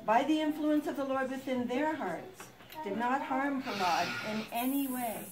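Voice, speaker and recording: A woman's voice: high-pitched, moderate pace, crowd noise, low-quality recording. A woman narrating a scripted puppet-show story. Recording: live puppet show, audience noise.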